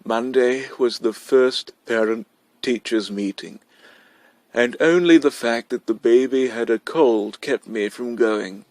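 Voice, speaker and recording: A man's voice: medium pitch, slow, a little mellow, no echo. A man narrating a short story from a script as a reader.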